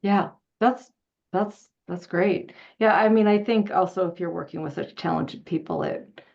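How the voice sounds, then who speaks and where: A woman moderating a casual interview, agreeable and a little halting, heard through a dull lo-fi microphone. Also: fairly low pitch, creaky, darkish tone. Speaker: interview host.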